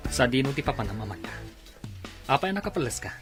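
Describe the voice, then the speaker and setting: An actor playing a controlling, suspicious husband in an Ilocano radio drama. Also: male voice, medium pitch, sing-song, fast, over loud music, lightly acted.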